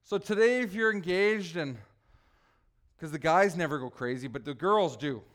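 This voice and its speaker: A man preaching a relaxed, conversational sermon, joking with his congregation and teasing people by name. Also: fairly high pitch, normal speed. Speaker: pastor.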